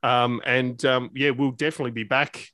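A man's voice: mid-range voice, little pitch movement, moderate pace, sharp tone, no room sound. A man hosting an interview podcast in an appreciative, effusive conversational manner. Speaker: podcast host.

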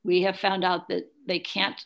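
Middle-aged female interview guest, gravelly lower register, plain-spoken personal recollection. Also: monotone, moderate pace, fairly bright tone. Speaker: interview guest, adoptive single mother. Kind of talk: conversational interview, recounting personal experience.

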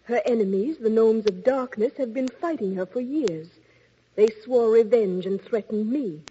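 A woman playing a gentle, dignified young princess, speaking formally in a fairy-tale audio play. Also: a little high, moderate pace, slightly rough. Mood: earnest. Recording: dull lo-fi recording.

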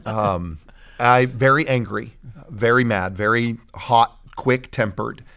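A man's voice: mid-range voice, slow, gravelly, fairly bright tone. A man, a pastor guest, giving personal testimony in a blunt, emphatic conversational way.

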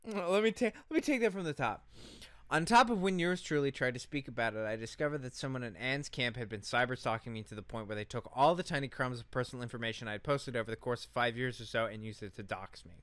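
A man with a clear, smooth voice co-hosts a podcast in a dry, close recording. He speaks at a middle pitch and moves between informal, profane chat and reading aloud. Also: fast, fairly bright tone, no echo. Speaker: podcast co-host.

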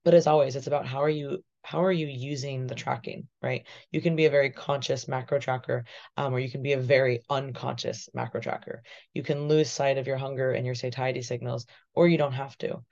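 A woman, a physique coach, slightly hoarse, explaining her coaching ideas in a relaxed podcast chat over a video call. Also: extremely low pitch, a little fast. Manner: thoughtful, explanatory, says "right?" often. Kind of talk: casual podcast discussion. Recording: muffled video call audio.

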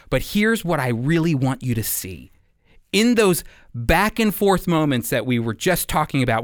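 A man delivers a sermon in a smooth, clear voice pitched a little high. He speaks earnestly, leaning on key phrases and reading scripture with dramatic weight. The sound is dry and close. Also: dry recording. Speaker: preacher. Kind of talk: sermon with scripture read aloud.

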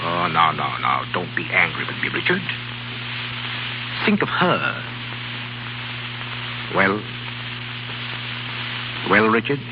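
A man's voice: low-pitched, huge pitch swings, slow pace, pauses often, noisy background, heavily acted. A man playing a suave, persuasive rich baron with an urbane manner in a 1930s radio drama. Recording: muffled 1930s radio recording, loud music.

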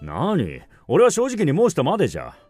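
An actor playing a blunt, sceptical samurai companion with archaic warrior speech in a radio drama. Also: male voice, on the high side, huge pitch swings, normal speed, darkish tone, no room sound, faint music behind. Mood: jovial, brash.

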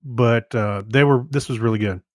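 A man's voice: medium pitch, a little fast, darkish tone, dry recording, studio quality. A man co-hosting a podcast, giving informal opinions in a rambling, hesitant, conversational way. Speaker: podcast co-host. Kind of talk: casual chat, informal reviews.

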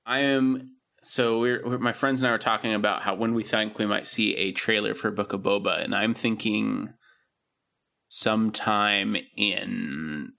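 Young man, podcast co-host, gravelly voice, laid-back rambling chat. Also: fairly low pitch, fairly even intonation, unhurried, pushed and bright. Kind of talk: casual chat.

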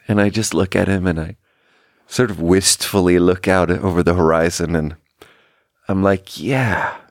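A man from Sweden, a native Swedish speaker, telling rambling personal stories in a casual, self-deprecating, halting and wry way. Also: sits low, no echo, studio quality. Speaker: podcast host.

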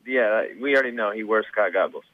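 A man's voice: fairly low pitch, fast talker, no room sound, phone-quality sound. A man co-hosting a radio show, teasing and sarcastic in casual banter.

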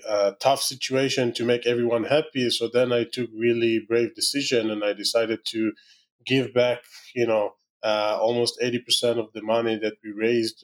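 A middle-aged man with an Israeli accent, a startup founder answering podcast questions in halting English full of "you know" fillers. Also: lowish voice. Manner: halting, many "you know" fillers, non-native grammar.

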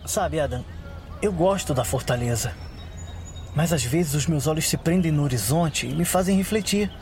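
Homem interpretando um jovem guerreiro sonhador de voz aguda. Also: male voice, fairly high pitch, animated, moderate pace, a little mellow, slight background noise. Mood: wistful. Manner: wistful, earnest, theatrical. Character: young idealistic dreamer warrior yearning to see the world. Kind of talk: fantasy audio drama acting. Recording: ambient sound effects behind.

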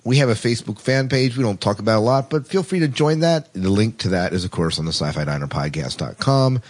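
Male podcast co-host, lower-pitched voice, informal and conversational delivery. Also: expressive, brisk. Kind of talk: casual podcast talk.

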